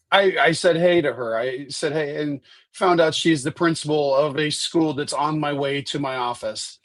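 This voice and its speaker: A man in his fifties, stuffy and husky from allergies, answering questions as a candidate on a video call, chatty with frequent restarts. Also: a little high, a bit bright, no echo. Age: 50s. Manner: chatty, restarts and repeats words. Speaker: state senate candidate. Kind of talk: virtual town hall answers.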